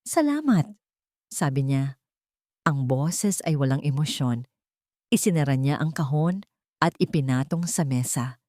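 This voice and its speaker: A woman's voice: extremely low pitch, unhurried, long pauses, dark tone, no room sound, studio quality. A woman reading a scripted, dramatic love story as its narrator.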